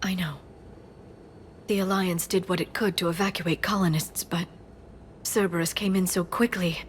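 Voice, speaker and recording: A woman's voice: lowish voice, steady tone, moderate pace, a little hiss or hum, lightly acted. An actress voicing an earnest, learned female scientist on a squad in a sci-fi video game. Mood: sober concern.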